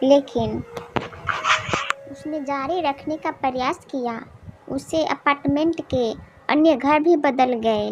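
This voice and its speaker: A woman's voice: high voice, highs cut off, slight background noise. A woman narrating a scripted cartoon moral story as a storyteller.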